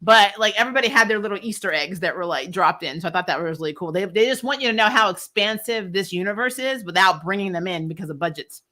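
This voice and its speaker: Bright-voiced woman chatting through a recap. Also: mid-range voice, animated, quick pace, hardly any pauses. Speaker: host of a TV recap show. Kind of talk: casual livestream review chat, reading viewer comments.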